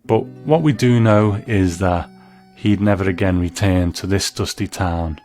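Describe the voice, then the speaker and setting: Low-pitched man hosting a commentary. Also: a little slow, darkish tone, soft background music. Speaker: host. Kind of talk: scripted narration, commentary on classic TV and radio.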